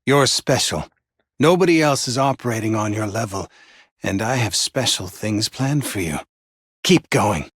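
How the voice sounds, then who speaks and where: A man playing a sinister, doting teacher in a video game, husky and intimate, with measured, admiring delivery. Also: on the low side, lively intonation, a bit bright, dry recording, studio quality, full character voice. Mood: intimate praise. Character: sinister, doting male teacher praising a favoured student. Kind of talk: scripted video game voice lines.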